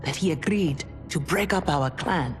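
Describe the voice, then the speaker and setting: An actress playing a principled, defiant young ninja warrior in a video game, earnest and questioning. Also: female voice, sits low, unhurried. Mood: troubled, earnest. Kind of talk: dramatic video game cutscene acting. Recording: long hall reverb. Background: dramatic music.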